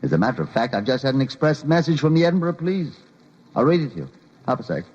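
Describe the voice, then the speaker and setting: A brisk British police chief in an old radio crime drama. He speaks in clipped official tones with a rough, husky voice at middling pitch, easily flustered and insistent. The recording is dull and dated. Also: sing-song, darkish tone. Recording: muffled vintage radio recording.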